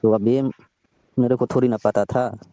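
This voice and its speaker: Man playing middle-aged father-in-law, dark low voice, placating, honour-obsessed, colloquial, phone drama, dull recording. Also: fairly even intonation, normal speed, dry recording, lightly acted. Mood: defensive. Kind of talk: amateur staged phone-call drama.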